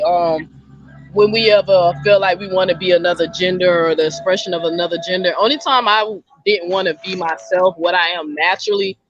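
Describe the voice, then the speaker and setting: A woman's voice: lowish voice, no room sound, dull audio. A woman guest on a talk panel, speaking bluntly and colloquially to the group. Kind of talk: casual debate chat.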